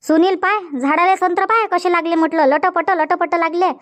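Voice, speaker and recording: A woman's voice: very high-pitched, steady tone, normal speed, a bit bright. A woman playing a shrewd, outspoken farmer's wife in a cartoon comedy, blunt and emphatic, with a Varhadi Marathi accent from Vidarbha. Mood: excited. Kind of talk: dubbed cartoon comedy dialogue. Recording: dull lo-fi audio.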